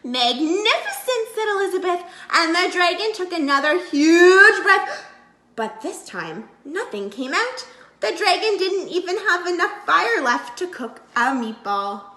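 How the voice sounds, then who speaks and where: A woman, an early childhood educator, reading a children's storybook aloud in an expressive, emphatic way. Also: extremely high pitch, measured pace. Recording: echoey room.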